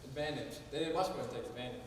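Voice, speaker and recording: An actor playing a grim, gloomy ghost brother in a stage play. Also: male voice, medium pitch, very fast, slight background noise, natural voice. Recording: echoey hall from far off.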